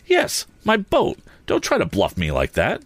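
A man's voice: deep voice, huge pitch swings, normal speed, dry recording, exaggerated voice. A man voicing an angry young inventor hero in a storybook, indignant and demanding. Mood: furious, demanding.

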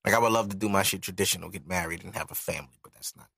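A man's voice: low-pitched, racing, vocal fry, bright, forceful tone, husky, no room sound, clean studio sound. A man in his thirties co-hosting a podcast, talking candidly, joking and reflective. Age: 30s. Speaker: podcast co-host. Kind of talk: casual chat.

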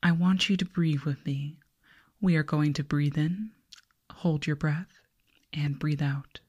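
Woman doing alien girl scientist asmr, soft breathy whispering, low n mellow, slow and formal, calm but kinda condescending. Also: highs cut off, heavily acted. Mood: soothing, detached. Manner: slow, soothing, formal, clinically detached. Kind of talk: ASMR roleplay, hypnotic whispering and soft speech.

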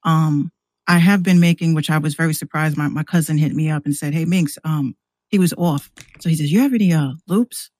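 A woman's voice: deep voice, fairly quick, a little mellow, no room sound. Casual woman, dry close sound. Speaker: podcast guest and musician. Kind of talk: casual chat.

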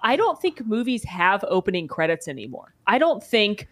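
A woman's voice: mid-range voice, big pitch swings, moderate pace, a bit bright. A woman co-hosting a casual podcast, talking in a chatty way with lots of "like". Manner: chatty, uses "like" a lot. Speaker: podcast co-host. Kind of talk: casual chat.

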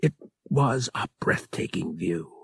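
A man reads fiction as an audiobook narrator, dramatic and deliberate. His pitch moves expressively over a slow pace, and the voice has a husky grain. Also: animated, a bit bright, no room sound. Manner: deliberate phrasing with dramatic pauses.

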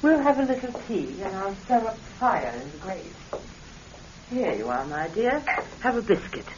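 An actress playing a gracious, mysterious spirit medium in an old radio drama, courteous and solemnly assured. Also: female voice, mid-range voice, sing-song, moderate pace, natural voice. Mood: hospitable. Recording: muffled, hissy vintage radio recording.

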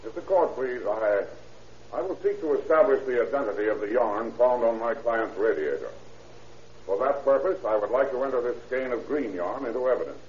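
A man playing a courteous, methodical defense attorney in an old-time radio courtroom drama, speaking formally and deliberately. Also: medium pitch, expressive, a little mellow, lightly acted. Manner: formal, deliberate, politely lawyerly. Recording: echoey, muffled old radio recording, faint hiss.

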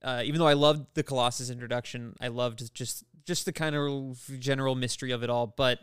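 A man's voice: medium pitch, steady tone, brisk, fairly bright tone, clear, resonant tone, no echo, clean studio sound. A man co-hosting a podcast, chatting enthusiastically and casually about pop culture. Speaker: podcast co-host.

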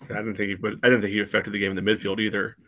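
Low, even-toned man chatting sports. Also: rapid-fire, a little hiss or hum. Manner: leans heavily on "you know" asides. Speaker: soccer podcast panelist. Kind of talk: casual match analysis discussion.

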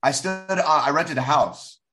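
A man's voice: a little high, unhurried, fairly bright tone. A man chatting casually as a podcast guest, agreeable and informal in his talk. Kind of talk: casual chat.

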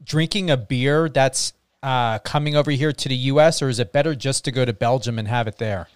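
A man hosting an interview, asking encouraging, unhurried questions. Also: mid-range voice, clear, resonant tone, no room sound, studio quality. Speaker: interview host. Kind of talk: casual interview chat.

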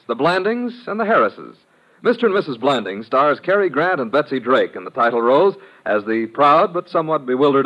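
A man's voice: medium pitch, fairly bright tone. A man announcing a network promo in the polished style of 1950s radio. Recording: dull old radio broadcast.

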